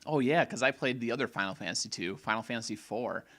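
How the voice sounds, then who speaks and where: A man co-hosting a casual gaming podcast, opinionated and rambling, a little hoarse, often restarting his sentences. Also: mid-range voice, quick pace, a bit bright. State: slightly hoarse. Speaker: podcast co-host.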